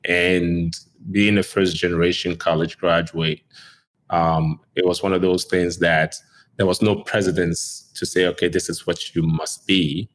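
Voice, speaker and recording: A middle-aged man from Ghana speaks in a very deep, low voice. He answers interview questions in a relaxed way, with plenty of "um" and "you know" and the odd chuckle. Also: measured pace, rough recording. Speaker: neurosurgeon guest.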